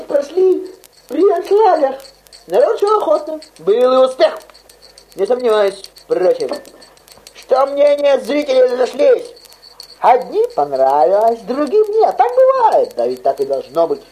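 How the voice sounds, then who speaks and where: A woman co-presenting a comedy skit in the style of a parody radio show, cutting in with quick deadpan remarks. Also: sits high, low-quality recording.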